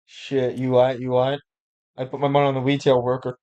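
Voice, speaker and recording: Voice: mid-range voice, level tone, fairly quick, dark tone, muffled recording. Reaction cohost w medium voice, casual chat, crude jokes, acting fake scared. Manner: crude, mock-scared joking. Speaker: reaction video co-host.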